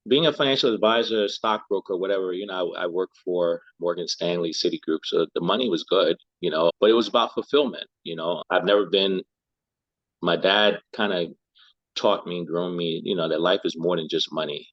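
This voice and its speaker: A man's voice: low-pitched, fairly quick, no room sound, muffled recording. A middle-aged man from Liberia, a health and lifestyle coach, answering interview questions thoughtfully and often repeating words as he searches for them.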